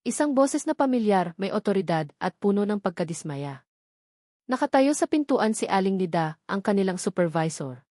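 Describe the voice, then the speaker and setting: A woman's voice: medium pitch, sing-song, moderate pace, dark tone, no room sound, studio quality. A woman reading a scripted, dramatic love story as its narrator.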